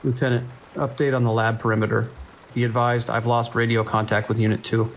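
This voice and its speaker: Police dispatcher male actor, audio drama. Creaky mid-range voice, procedural, tense and clipped, light rain noise. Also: mid-range voice, flat delivery, brisk, close to an everyday voice, poor audio. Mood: tense, worried. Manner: clipped, procedural radio speech.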